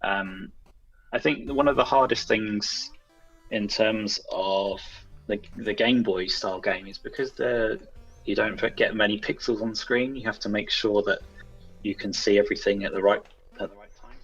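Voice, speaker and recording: A man's voice: lowish voice, normal speed, fairly bright tone, muffled recording. A man speaking as a game developer guest in a casual interview, thinking aloud in a hesitant, self-deprecating way. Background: music in the background.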